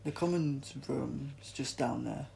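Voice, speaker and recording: A man's voice: mid-range voice, measured pace, creaky, mellow, slight background noise. A man reading the part of a cynical, streetwise young male athlete in a storybook, talking in a wary, colloquial way. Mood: warning.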